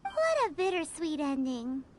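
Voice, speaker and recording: A woman voicing a tiny, childlike, chirpy fairy sidekick with a squeaky voice in an anime game. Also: wildly swooping pitch, a little slow, background noise, heavily acted. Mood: cheerful. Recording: loud game music behind.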